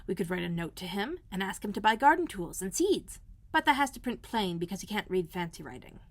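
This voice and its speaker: A woman's voice: medium pitch, very animated, brisk, dry recording. A woman voicing a friendly, helpful young housemaid with old-fashioned rustic phrasing in a storybook.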